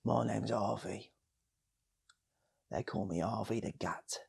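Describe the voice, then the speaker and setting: A man playing a blunt, laconic, slow-talking PE teacher in a comic story, deadpan and matey. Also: fairly low pitch, vocal fry, slightly rough, heavily acted.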